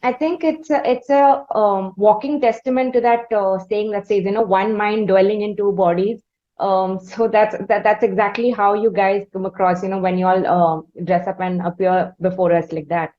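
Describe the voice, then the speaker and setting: A woman interviewing in a conversational way, with deliberate, wordy, halting phrasing. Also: mid-range voice, steady tone, lo-fi sound. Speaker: interviewer, podcast host.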